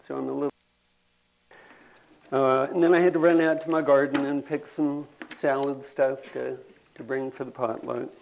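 An older man, telling folksy rambling stories to a gathering and clearing his throat now and then. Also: medium pitch, little pitch movement, normal speed, darkish tone, muffled recording, slight background noise. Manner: folksy, drawling, says y'all. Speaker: storyteller at a community gathering.

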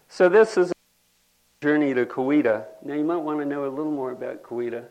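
An older man, telling folksy rambling stories to a gathering and clearing his throat now and then. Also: fairly high pitch, a little mellow, low-quality recording. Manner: folksy, drawling, says y'all. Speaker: storyteller at a community gathering.

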